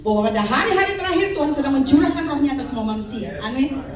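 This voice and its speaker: A woman in her fifties preaching a lively sermon, calling out to her congregation for responses. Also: sits high, rapid-fire. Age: 50s. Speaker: pastor. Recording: echoey hall, mic from far off.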